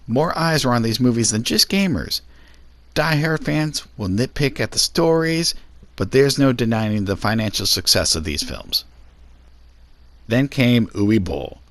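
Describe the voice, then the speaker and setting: A man with a fairly low voice presents scripted review commentary. His pitch swings widely as he gives blunt, sarcastic opinions with casual swearing. The recording is dry and close, with little room sound. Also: fairly bright tone, dry recording. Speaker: video host and reviewer.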